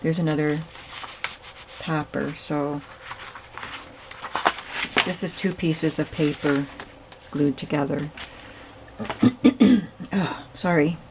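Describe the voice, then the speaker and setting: A woman hosting a crafting video, hoarse and raspy from lack of sleep, chatting casually as she works. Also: sits low, slow, pauses often, slight background noise. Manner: relaxed, chatty, thinking aloud while working. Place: home craft room.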